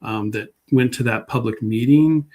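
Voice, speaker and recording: Male guest expert, medium pitch, informal, thinking aloud with halting rephrasing. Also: darkish tone, slight background noise. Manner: hesitant, searching for words, rephrasing. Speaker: public historian, guest speaker. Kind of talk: informal talk and question answering.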